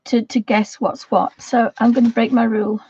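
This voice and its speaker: Woman host, croaky and husky, mellow tone, soft rambling chat, dull lo-fi stream sound. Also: a little high, little pitch movement, normal speed. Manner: soft, rambling, often half-whispered. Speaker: livestream host. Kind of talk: casual chat while colouring.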